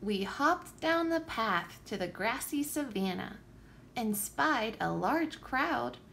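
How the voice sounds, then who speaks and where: A woman reading a picture book aloud for young children with expressive, deliberate phrasing. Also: a little high, vocal fry. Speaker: children's story reader, teacher.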